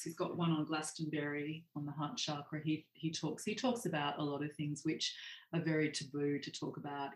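A guest author and artist talking in a meandering, hedging way with many "sort of" and "um". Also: mid-range voice. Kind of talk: reflective interview conversation. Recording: roomy echo.

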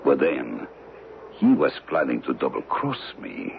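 A man's voice: a bass voice, big pitch swings, unhurried, creaky, full character voice. An actor playing a suave, scheming villain with a foreign accent, choosing his words with courtly precision in an old radio drama. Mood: quietly menacing. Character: suave, scheming foreign villain who deals in stolen art. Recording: muffled, noisy old radio broadcast.

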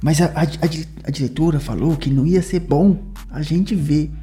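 A man's voice: a little high, normal speed, mellow. A man playing a schoolboy in a tabletop game, whiny and stammering. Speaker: player. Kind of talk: in-character roleplay as a schoolboy. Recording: music under the voice.

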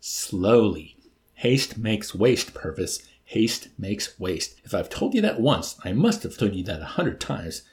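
A man reading an audiobook voicing a calm, scheming male officer who talks in a mildly reproving, chanting way. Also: on the low side, slow.

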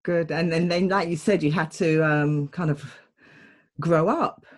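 A woman's voice: low-pitched, fairly even intonation, measured pace. A woman hosting an interview show, speaking in a chatty, effusive and encouraging conversational way.

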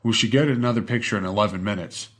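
A man's voice: on the low side, fast, natural voice. A man playing a tense, bossy older male colleague in an audiobook story, speaking in terse commands.

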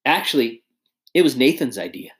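A man's voice: medium pitch, sing-song, normal speed, natural voice. A man voicing a shy, earnest young inventor who speaks modestly.

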